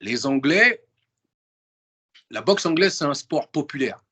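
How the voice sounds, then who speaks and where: A man with a husky, slightly high voice, talking animatedly as an interview guest. He speaks in casual slang and keeps restarting and repeating his words to drive points home. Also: unhurried. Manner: emphatic and animated, full of restarts and repetition.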